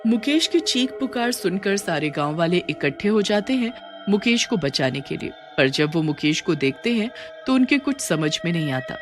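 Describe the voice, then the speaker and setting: A woman's voice: lowish voice, lively intonation, normal speed, faint music behind. A woman giving a dramatic, scripted narration of a cartoon story.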